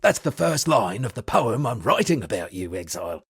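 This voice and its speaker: An actor playing an eccentric shipwrecked sea captain and pompous amateur poet, speaking florid, dramatic game dialogue in a gravelly, husky voice. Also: male voice, fairly low pitch, big pitch swings, moderate pace, fairly bright tone, dry recording, clean studio sound, heavily acted. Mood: theatrical, rueful. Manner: florid, dramatic, alliterative declaiming. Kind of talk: theatrical video game character dialogue.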